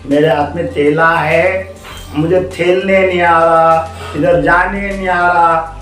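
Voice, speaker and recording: A man's voice: on the high side, level tone, slow, a bit bright, far from the mic, soft background music, voice pushed up. A small, whining monkey child performed by a man pleads in a raised voice with muddled baby talk. It repeats itself insistently, as a storybook youngster, in a very echoey recording. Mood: whining, distressed. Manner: babyish garbled speech, repetitive pleading.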